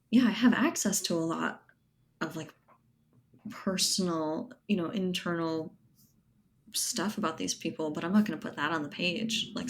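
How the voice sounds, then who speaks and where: A woman speaking as an interview guest, explaining her thinking in a casual, thoughtful, conversational way. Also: low voice. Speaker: guest, writer and editor.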